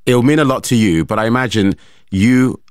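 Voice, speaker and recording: A man hosting a radio interview, asking measured, clear questions into a studio microphone. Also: medium pitch, darkish tone, dry recording. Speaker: radio host.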